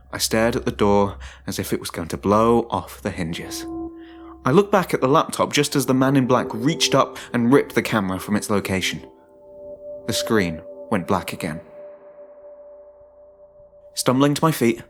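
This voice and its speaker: A man giving a dramatic, suspenseful first-person narration of a horror story, in a deliberate manner. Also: on the low side. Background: music.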